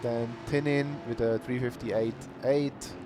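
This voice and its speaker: A man commentating an online sim racing qualifying session in a plain, unhurried way. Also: mid-range voice. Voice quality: calls himself monotonous. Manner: unhurried, plain-spoken, bursts of cheering. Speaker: race commentator. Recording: noisy stream audio.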